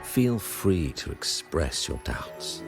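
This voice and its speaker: A pious ruler of a fantasy game city, with an extremely low voice. He delivers grave, formal oratory at a slow, measured pace, sanctimonious and solemn throughout. Loud music plays behind him. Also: big pitch swings, gravelly, heavily acted. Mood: preaching, resolute. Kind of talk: scripted video game voice acting, oratory.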